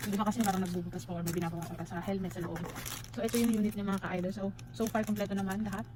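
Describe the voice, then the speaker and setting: Female Filipino vlogger, informal unboxing chat, mid-pitched and fast, switches into English, recorded with noisy ambience. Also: fairly even intonation. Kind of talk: casual unboxing and review chat to viewers.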